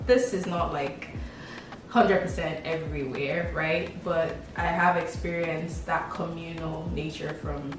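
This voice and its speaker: Young woman, lowish pitch, casual playful chat, music behind. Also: fairly even intonation, a little slow. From Lagos, Nigeria. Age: young adult. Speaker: vlogger answering questions about herself. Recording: echoey room, distant mic, background beat.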